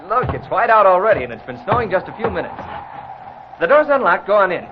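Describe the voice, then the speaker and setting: A man playing an earnest, gentle young countryman in a 1940s radio drama. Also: high-pitched, very animated, fast, over music. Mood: eager, kindly. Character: lonely, friendly young countryman who makes toys. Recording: muffled, hissy old radio recording.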